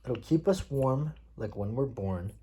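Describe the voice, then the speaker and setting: A man reading picture books aloud as a father at bedtime, playfully pausing to chat with his child. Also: medium pitch, animated, dark tone, breathy edge. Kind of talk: read-aloud picture book storytelling mixed with casual chat.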